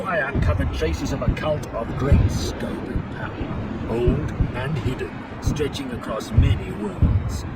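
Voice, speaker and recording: An actor playing a stern, zealous inquisitor in a science-fiction game, speaking in a formal, deliberate, pompous way. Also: male voice, mid-range voice, heavy background noise, natural voice. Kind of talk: dramatic science-fiction game cutscene acting. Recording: loud music behind, distant voice.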